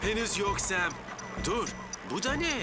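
An actor voicing an excitable, stubbornly confident young male firefighter in a cartoon dub. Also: high voice, measured pace. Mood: alert. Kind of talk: Turkish cartoon dub acting. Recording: music under the voice.

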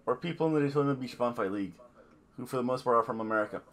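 A man in his fifties with a slightly hoarse voice, talking casually to a webcam at home and then calling wrestling video game matches in a loud announcer manner. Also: mid-range voice, very fast. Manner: loud, excited play-by-play announcing; quiet and relaxed when talking to camera. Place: home office room with bookshelves. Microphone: webcam at desk. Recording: echoey room, distant mic, loud background noise.

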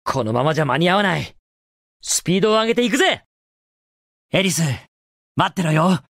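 A daring young video game hedgehog hero, cocky and cool. The delivery is brash and casual, with very animated pitch swings. The recording is dry and close, with no room sound. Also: male voice, a little fast, no room sound, heavily acted. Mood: determined. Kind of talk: Japanese video game voice acting, short clips.

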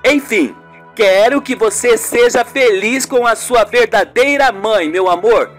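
A young nephew in a radio soap, as a woman plays him. He has a high voice and sounds earnest and puzzled, questioning insistently. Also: a little slow, faint music behind, lightly acted. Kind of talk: amateur radio soap acting.

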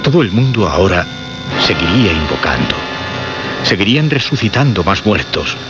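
A man giving solemn, suspenseful horror narration with dramatic pauses and repetition. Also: deep voice, big pitch swings. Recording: muffled radio recording with music behind.

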